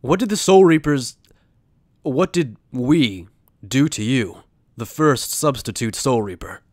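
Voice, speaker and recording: A man's voice: medium pitch, animated, measured pace, a little mellow, no echo, studio quality, natural voice. A man acting in an anime fan dub as an earnest, principled young officer who is also a journalist, speaking in a sincere, insistent way. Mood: earnest, challenging. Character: earnest male Soul Reaper lieutenant and principled journalist.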